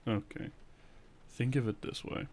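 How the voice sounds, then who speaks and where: A man playing a loving, gently teasing boyfriend in an intimate ASMR roleplay, speaking softly and close to a binaural microphone. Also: medium pitch, steady tone, a little slow, gravelly. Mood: tender, reassuring. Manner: soft, hushed, close and coaxing. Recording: close binaural recording, faint noise.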